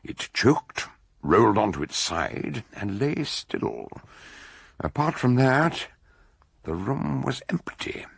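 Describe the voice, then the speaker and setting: An actor playing a stout, wry doctor detective in a radio drama, theatrical and droll, gravelly and husky. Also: male voice, medium pitch, very animated, slow pace, no room sound, clean studio sound, full character voice. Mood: grave, storytelling. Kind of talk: radio drama acting and storytelling narration.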